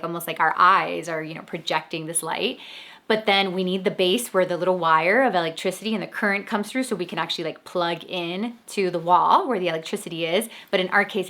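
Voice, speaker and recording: A woman's voice: fairly low pitch, fairly bright tone. A woman hosting a podcast, talking casually about intuitive messages in a chatty monologue full of "like" fillers. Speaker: podcast host.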